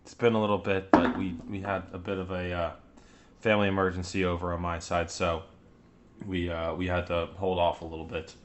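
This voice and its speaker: A man's voice: sits low, level tone, brisk, lo-fi sound, background noise. A man co-hosting a podcast in casual chat, telling stories in a chatty way with frequent laughs and casual swearing. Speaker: podcast co-host.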